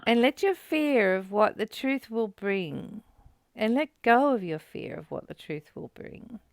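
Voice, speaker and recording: A woman's voice: fairly high pitch, expressive, unhurried, no room sound, studio quality. A woman hosting a spiritual study, reading and commenting in an earnest, contemplative, affirming manner.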